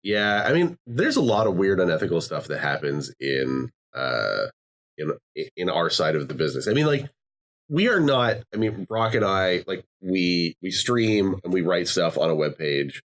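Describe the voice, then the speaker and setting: Low-voiced man chatting on a podcast. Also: big pitch swings, normal speed. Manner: rambling, many fillers and restarts. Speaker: podcast co-host. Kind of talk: casual podcast chat.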